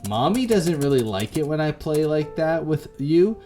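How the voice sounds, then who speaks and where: A man's voice: on the high side, very animated, moderate pace, dark tone, faint music behind, pitched above the performer's own voice. A man voicing a strange little girl, eager, stubborn and bossy, in a visual novel game. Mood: excited, pleading.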